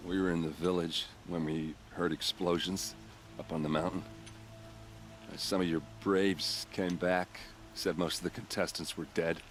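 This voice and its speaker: A man as a deep-voiced grieving guard captain. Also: flat delivery, moderate pace, faint music behind, close to an everyday voice. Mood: subdued. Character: grieving, hard-drinking male captain of the guard. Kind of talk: cinematic video game acting.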